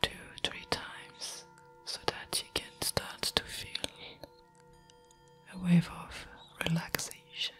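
A woman with a French accent guiding listeners through a soothing ASMR affirmation, leaving long pauses between short phrases. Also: a bit bright, soft background music. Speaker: meditation and ASMR guide. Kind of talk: whispered ASMR guided affirmation.

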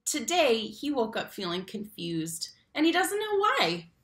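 A young woman with a fairly high, bright voice reads aloud for small children. She is expressive and leans on key words, talking straight to her listeners. The sound is roomy, like a webcam recording at home. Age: young adult. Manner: animated, slow emphatic phrasing on key words, addresses children directly. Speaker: read-aloud teacher and storyteller. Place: small room at home, indoors.

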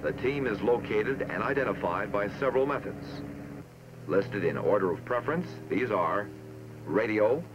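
A man with an American accent reading scripted military training narration in a formal, measured, authoritative way, on an old film soundtrack. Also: fairly low pitch, animated, dull audio, noisy background.

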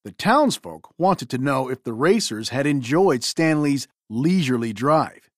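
Lady reading a kids storybook, super animated, dry clean sound. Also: extremely low pitch, unhurried, no echo. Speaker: storybook reader. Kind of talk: expressive scripted children's story narration.

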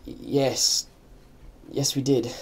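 A man's voice: medium pitch, fairly even intonation, takes it slowly. A man acts out a timid, well-bred British brother in a radio comedy. He is devoted, apologetic and hesitant, picking his way politely through sentences. There is slight noise on the recording. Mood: meek, agreeable.

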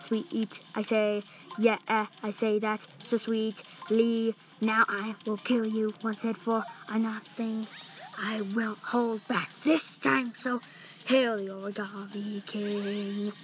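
A woman's voice: extremely high pitch, gravelly, pushed and bright, exaggerated voice, rough recording. A woman singing as a vain, gloating villain boss king who taunts in a sing-song way, with some lines whispered, over faint music. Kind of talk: sung villain battle song.

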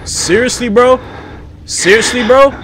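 A young man commenting casually as he plays a horror game, loud and joking, repeating phrases, on a headset mic in a small room. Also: extremely high pitch, unhurried, background noise. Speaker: gaming streamer. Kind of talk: casual let's-play commentary.

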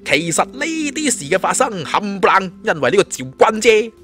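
Cocky young Cantonese martial-arts hero read by a male narrator, glib, taunting, lively pitch, music underneath. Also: moderate pace. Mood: glib, persuasive.

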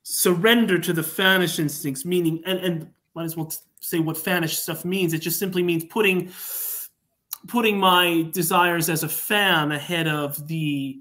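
A man's voice: fairly high pitch, fairly even intonation, normal speed, sharp tone. A man talking as a writer guest on a casual podcast, discursive, rephrasing and elaborating as he goes. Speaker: guest, fan audio drama writer. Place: remote online video call.

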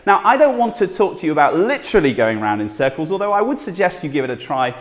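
A man's voice: fairly high pitch, very animated, fast, long reverb tail. A pastor in his thirties giving an earnest, conversational sermon from a small living room at home, talking with his hands. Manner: earnest, conversational, gesturing while speaking.

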